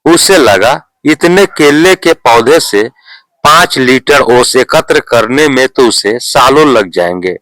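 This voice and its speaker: Slow, deliberate male storyteller. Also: mid-range voice, lively intonation, a bit bright, dry recording, poor audio. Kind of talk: scripted moral story narration.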